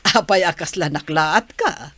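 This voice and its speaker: A woman's voice: on the low side, moderate pace, no room sound. A woman playing a jolly, assured middle-aged mother and soon-to-be grandmother in an Ilocano radio drama, speaking expressively. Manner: expressive, prone to chuckling.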